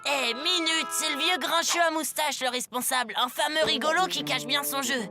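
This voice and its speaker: An actress voicing a cheeky, mischievous prankster kid in a cartoon, with a slangy, teasing way of talking. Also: female voice, high-pitched, normal speed, music in the background.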